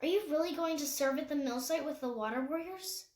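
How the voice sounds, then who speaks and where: A caring, insistent young girl with a bright, high voice, put on by a woman reading a novel aloud. She coaxes and comforts, steady and sincere, heard in an echoey room. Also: brisk, natural voice. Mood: probing. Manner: gently pressing, reassuring.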